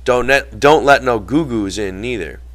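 A man's voice: mid-range voice, moderate pace, a bit bright, lightly acted. A man voicing a brash, gossipy young ranch hand with folksy, slangy rural speech in an audiobook. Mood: chatty.

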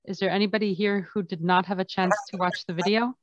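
A woman's voice: medium pitch, fairly even intonation, fast, no room sound. A woman informally hosting an online class over a video call. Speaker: online course host. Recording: muffled video call audio.

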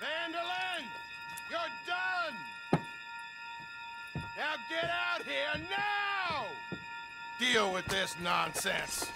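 An actor playing an enraged, imperious rich tycoon in a western video game, bellowing haughty threats. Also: male voice, very high voice, very animated, extremely slow, vocal fry, pauses often, over loud music, full character voice. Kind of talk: dramatic video game acting.

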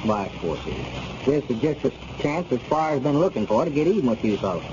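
An actor playing a sensible, friendly small-town neighbor, plain-spoken and incredulous in an old radio comedy serial. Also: male voice, medium pitch, sing-song, brisk, darkish tone, lightly acted. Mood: concerned, reasonable. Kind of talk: 1930s-40s radio comedy serial acting. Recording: noisy, muffled old radio recording.

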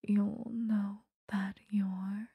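A woman voicing an obsessive, possessive female vampire in a breathy, coaxing ASMR whisper, close to the mic. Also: medium pitch, little pitch movement, no echo, narrow, tinny audio, full character voice. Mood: hungry, possessive. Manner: slow, coaxing, intimate. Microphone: close microphone.

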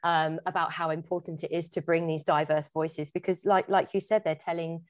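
A woman's voice: low-pitched, little pitch movement, moderate pace. A woman interviewing a guest on a podcast in a conversational, appreciative and encouraging manner.

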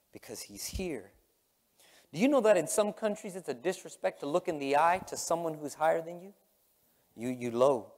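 A middle-aged man preaching a sermon in an emphatic, repetitive way from a church stage. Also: a little high. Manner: emphatic, repetitive, swinging from loud exclamations to hushed murmurs. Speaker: pastor preaching. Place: large church sanctuary, on stage. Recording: long hall reverb from sanctuary.

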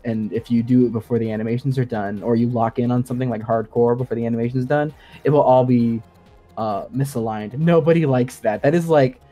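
Bright-voiced man explaining his craft casually. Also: medium pitch. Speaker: guest sound designer. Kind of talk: casual podcast discussion.

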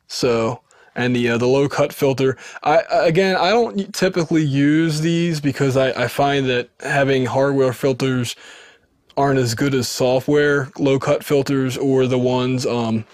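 A man's voice: medium pitch, a little slow, darkish tone, no echo. A man in his thirties with a sibilant voice, casually showing his gear to the camera in a small wood-paneled room, often restarting his sentences. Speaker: home recording video host. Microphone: studio dynamic mic off camera.

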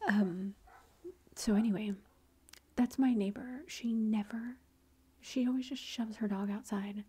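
A woman's voice: mid-range voice, steady tone, unhurried. A woman whispering through a long personal story, with many fillers and quoted lines of dialogue. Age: adult with a son. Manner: whispering, soft and breathy. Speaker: vlogger.

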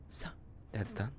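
A man's voice: bright, forceful tone, a little hiss or hum. A man playing a psychotic, possessive young kidnapper in an intimate audio roleplay, cooing menacingly in half whispers with creepy giggles.

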